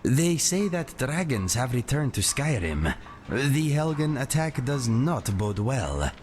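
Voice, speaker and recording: Eloquent, animated catlike warrior companion. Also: male voice, medium pitch, slow pace, soft background music. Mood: musing. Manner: formal, eloquent phrasing. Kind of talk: fantasy game character acting.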